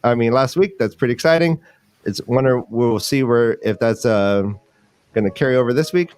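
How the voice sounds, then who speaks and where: A man's voice: medium pitch, normal speed, a little mellow, smooth, no echo. A man hosting a fantasy football livestream with casual, jokey banter and game previews, rambling and repeating phrases for emphasis.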